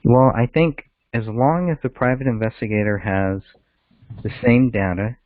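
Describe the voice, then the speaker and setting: A man's voice: mid-range voice, moderate pace, no room sound. A man co-hosting a casual discussion of a case over a call, heard through a narrow phone line.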